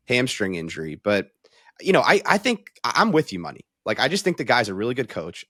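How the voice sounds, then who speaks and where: A man's voice: fairly low pitch, fairly bright tone, no room sound, clean studio sound. A man talking through sports analysis in a conversational, casual way, thinking aloud as a panel analyst.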